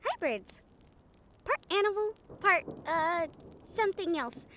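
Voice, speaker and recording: Woman playing a young harpy healer, very high pitch, lively intonation, earnest, kind, excitable, audio drama. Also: slow, slight background noise, full character voice. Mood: gentle, friendly. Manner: bubbly, gentle, earnest. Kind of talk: audio roleplay acting.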